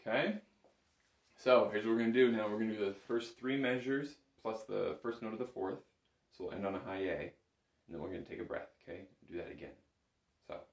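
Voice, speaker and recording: A man giving a step-by-step music lesson as an instructor, patiently counting and calling things out. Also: fairly low pitch. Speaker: bagpipe instructor. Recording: roomy, echoing room sound.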